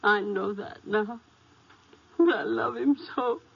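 Devoted, heartbroken daughter for vintage radio drama: high-pitched, husky, halting, tearful. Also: female voice, full character voice. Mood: tearful regret. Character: heartbroken devoted grown daughter of an old man. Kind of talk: 1950s radio drama acting. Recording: muffled vintage 1950s radio recording.

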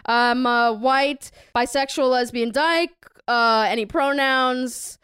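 A woman's voice: sits high, steady tone, takes it slowly, a bit bright, smooth, no echo, studio quality. A woman co-hosting a comedy podcast, goofing around with crude jokes. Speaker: podcast co-host. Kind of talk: casual comedic chat.

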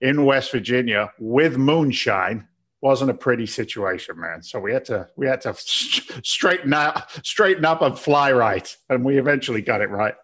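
A man's voice: mid-range voice, lively intonation, moderate pace, a bit bright. This is a man in his fifties from England whose accent has drifted toward American. He talks like a brash, joking guest, full of slang and banter. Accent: English mixed with American. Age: 50s. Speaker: podcast guest, real estate investor. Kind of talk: casual interview chat.

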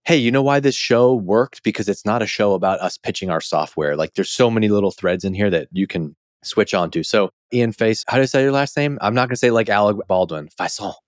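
An American man with a fairly low voice hosts a casual interview podcast. He is chummy and informal, phrasing things loosely. The recording is dry, without room sound. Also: fairly quick, hardly any pauses, dry recording. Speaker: podcast host.

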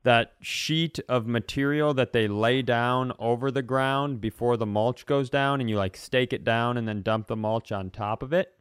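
A man with a clear, smooth voice and a dry, close sound. He tells stories casually as a comedy podcast host, in animated bursts broken by pauses. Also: mid-range voice, dry recording. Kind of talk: casual comic storytelling.

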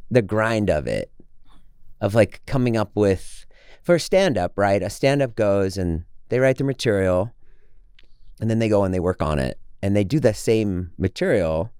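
Low, smooth-voiced podcast host. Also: male voice, animated, moderate pace, darkish tone, dry recording, studio quality. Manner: curious, probing questions. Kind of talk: interview questions, casual chat.

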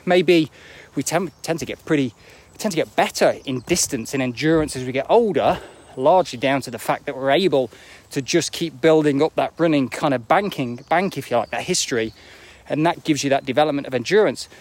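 A man's voice: on the high side, a little fast. A man in his forties giving a casual, reflective motivational talk as a running coach on a live video, often checking in with rhetorical questions. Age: 40s. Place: outdoors, walking uphill near an airport.

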